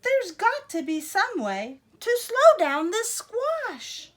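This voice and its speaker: A woman voicing a flustered, excitable woman gardener from a picture book, exclaiming dramatically. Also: extremely high pitch, slow pace, voice pushed up, heavily acted. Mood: worried.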